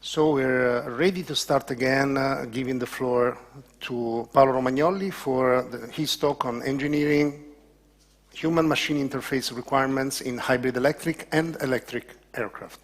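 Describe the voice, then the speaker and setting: A man's voice: medium pitch, steady tone, normal speed, long reverb tail. A man moderating a workshop, formally introducing the speakers with occasional fillers.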